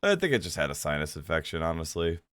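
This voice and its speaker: A man's voice: extremely low pitch, huge pitch swings, fast talker, a bit bright, a little hiss or hum. A man co-hosting a casual podcast chat, stuffy-nosed and sniffly, laid-back and swearing freely. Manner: laid-back, profane, laughs often. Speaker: podcast co-host.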